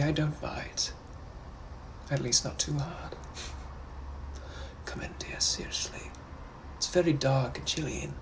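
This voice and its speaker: A man playing a menacing, possessive gentleman from the 1800s, speaking in a hushed, breathy, put-on voice. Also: mid-range voice, poor audio. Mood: silky. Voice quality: hushed breathy whisper, drawn-out purring delivery. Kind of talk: POV comedy skit.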